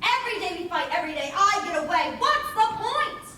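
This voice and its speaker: A woman's voice: very high-pitched, big pitch swings, brisk, pushed and bright, slight background noise, heavily acted. A woman acting a defiant, headstrong young boy on stage, emphatic, earnest and combative in a projected delivery. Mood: frustrated. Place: indoor playhouse stage. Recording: echoey theatre, far mic, music behind.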